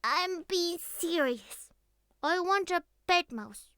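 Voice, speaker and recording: Casting: playful young catgirl for ASMR roleplay. Very high, unhurried, pouty, childlike and affectionate, close dry sound. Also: expressive, sharp tone, no room sound, heavily acted. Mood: pleading, sulky. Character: young catgirl, former cat, clingy, playful, naive.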